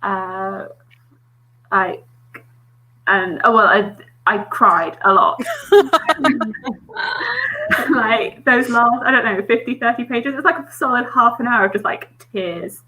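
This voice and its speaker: Bright-voiced British woman, casual chat. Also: fairly high pitch, takes it slowly, pauses often, low-quality recording. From Britain. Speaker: book YouTuber, panel guest.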